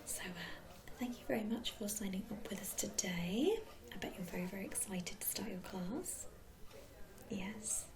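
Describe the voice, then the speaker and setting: A British woman speaks in a soft, breathy whisper. She plays a receptionist in an ASMR roleplay, using gentle, reassuring customer-service patter with endearments. A clear murmur of people sits behind her voice. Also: mid-range voice, normal speed, a crowd in the background. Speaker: ASMR performer playing a club receptionist.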